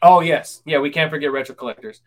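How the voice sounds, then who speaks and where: A man's voice: a little high, fast talker. A man in his twenties hosting a casual interview, full of ums and restarts. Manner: hesitant, many ums and restarts. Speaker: podcast host.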